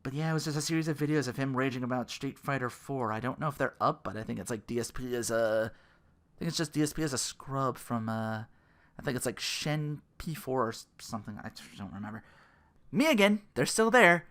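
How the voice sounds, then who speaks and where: Give a bright, forceful voice with very lively swings in pitch. It is a podcast host doing casual, rambling commentary. The delivery is sardonic and sweary, slipping into acted-out quotes. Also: a little fast. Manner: sardonic, profane, animated. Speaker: podcast host and content creator.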